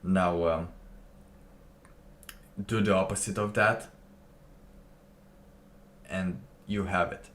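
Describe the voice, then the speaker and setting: A man streaming alone, reacting to a clip with slow, musing casual commentary and laughing to himself. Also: sits low, steady tone, fairly bright tone.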